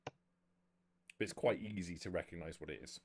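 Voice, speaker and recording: A man with a low voice runs a tabletop game as its game master. He improvises his narration, hesitating and correcting himself as he goes. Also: moderate pace, a little hiss or hum.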